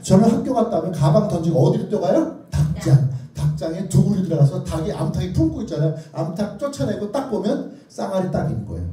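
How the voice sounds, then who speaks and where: Male lecturer, high lively voice, very soft dark tone, theatrical storyteller, question-driven delivery, distant echoey recording. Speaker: children's author and lecturer. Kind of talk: interactive lecture with questions to the audience. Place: indoor lecture room with strong echo. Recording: very echoey room, distant microphone.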